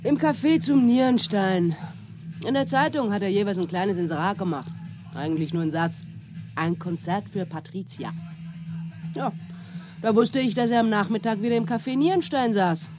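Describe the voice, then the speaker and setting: A woman acts a sullen young woman being questioned in a radio drama. She is offhand and defensive, at a middle pitch, with music clearly playing behind her. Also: normal speed, close to an everyday voice. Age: young adult. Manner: offhand, sulky. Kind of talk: radio play dialogue.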